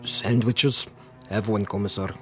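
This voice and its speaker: A man's voice: fairly low pitch, steady tone, measured pace, natural voice. An actor playing a blunt, impatient young henchman in a radio drama, speaking in terse questions and plain remarks. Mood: stolid. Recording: radio drama with music underneath.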